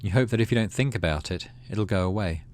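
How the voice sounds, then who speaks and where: A man's voice: on the low side, quick pace, darkish tone, a little hiss or hum. A man hosting a gaming video, narrating on-screen story text and adding casual commentary. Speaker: gaming channel host. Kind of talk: let's play narration, reading game text with casual commentary.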